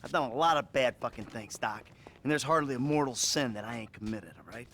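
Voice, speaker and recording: Wry, troubled American criminal, animated pitch. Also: male voice, slight background noise. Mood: confessional, anguished. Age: middle-aged. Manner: halting, wry, profane. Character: troubled middle-aged male criminal, evasive, foul-mouthed, self-loathing. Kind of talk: video game cutscene acting.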